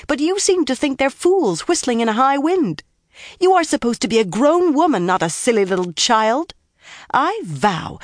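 A woman's voice: lowish voice, no echo, clean studio sound. Woman acting a stern, scolding young woman. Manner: sharp, firm, reproving.

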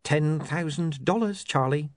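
A man voicing a smooth, calmly menacing male criminal in a storybook reading. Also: a little high, unhurried, dry recording, studio quality, pitched above the performer's own voice, close to an everyday voice. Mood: coaxing. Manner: calm reasoning menace.

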